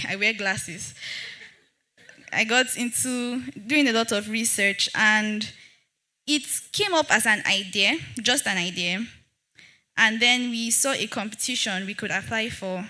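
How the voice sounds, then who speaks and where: A young woman from Nigeria, earnestly telling her founder story as a panelist at a public dialogue, with halting restarts. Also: medium pitch, sharp tone. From Jos, Nigeria. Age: young adult. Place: event hall with audience.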